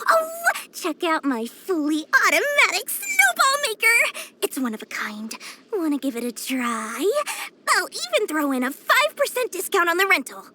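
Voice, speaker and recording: A woman's voice: very high voice, pushed and bright, exaggerated voice. A woman voicing a small, cheerful, greedy young female merchant for a video game, with theatrical, sing-song sales patter. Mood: eager, wheedling. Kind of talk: animated video game voice acting.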